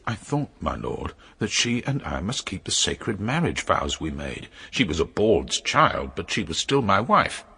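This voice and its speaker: Sneering, forceful, cruel count. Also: male voice, sits low, sing-song, a little slow, creaky, hardly any pauses, slightly rough, full character voice. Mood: controlled, bitter. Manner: sneering, scornful, theatrical. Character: cruel, contemptuous, menacing count and husband. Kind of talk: period radio drama acting.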